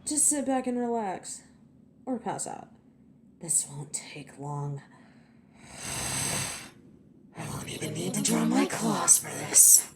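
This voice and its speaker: Woman acting as a cocky, slow-talking female werewolf. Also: fairly low pitch, big pitch swings, background noise. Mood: cocky, taunting. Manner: sardonic, taunting, playful. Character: cocky, teasing female werewolf, protective but menacing. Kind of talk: scripted audio roleplay acting, addressing the listener.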